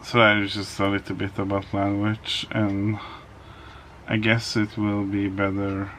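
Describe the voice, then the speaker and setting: Slow, flat-voiced Norwegian man. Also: lowish voice, a little hiss or hum. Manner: hesitant, many ums and restarts. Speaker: vlogger. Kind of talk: casual vlog monologue.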